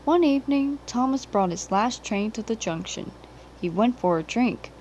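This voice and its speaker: A woman's voice: a little high, expressive, moderate pace, darkish tone, dull audio, slight background noise. A woman reading a children's story as a scripted narration, on an amateur home recording.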